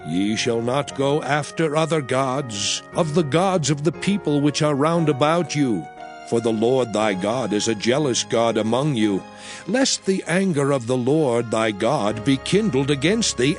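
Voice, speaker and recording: Slow, grave male scripture reader. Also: medium pitch, lively intonation, soft background music. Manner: slow, grave, emphatic declamation. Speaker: narrator. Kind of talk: dramatized King James scripture reading.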